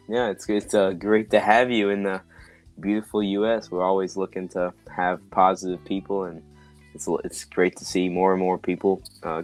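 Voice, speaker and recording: A man hosting a podcast interview, upbeat and encouraging, often repeating "awesome" and "exactly". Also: sits low. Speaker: podcast host.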